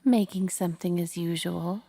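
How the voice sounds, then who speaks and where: A woman voicing a tired, calm, motherly female spider in a storybook, soft, low and breathy. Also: normal speed. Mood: calm, secretive.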